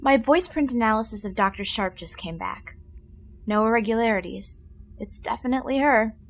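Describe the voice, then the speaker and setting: Woman playing a dry, higher-voiced bridge officer. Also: expressive, moderate pace, slight background noise. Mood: alert, matter-of-fact. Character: dry, self-possessed starship bridge officer. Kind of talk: audio drama acting.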